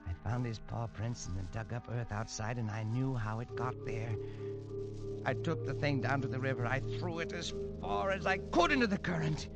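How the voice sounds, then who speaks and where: An actor playing a haunted man telling his own nightmare in a tense, hushed, stammering first-person style in a 1940s radio drama. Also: mid-range voice, moderate pace, natural voice. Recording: lo-fi old radio recording under music.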